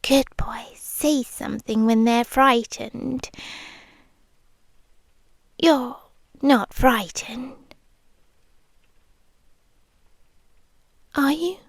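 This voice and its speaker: A British woman playing a sweetly menacing, possessive vampire girlfriend in an ASMR roleplay, speaking in coaxing, breathy whispers with pauses, close to the microphone. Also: sits high, no room sound, heavily acted. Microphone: close microphone, dry.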